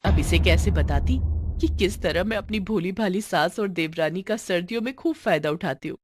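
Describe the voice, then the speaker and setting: A woman's voice: lowish voice, moderate pace, background noise, natural voice. Woman playing a sly, whining young daughter-in-law. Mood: scheming. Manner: wheedling, self-pitying, dramatic. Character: sly, lazy young elder daughter-in-law feigning illness.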